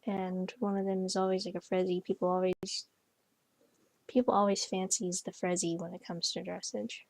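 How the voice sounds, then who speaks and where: A woman's voice: medium pitch, level tone, normal speed, vocal fry, no echo. A young woman chatting casually while playing a horse game, rambling with lots of fillers and restarts. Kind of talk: casual gameplay chat. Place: bedroom, on a bed.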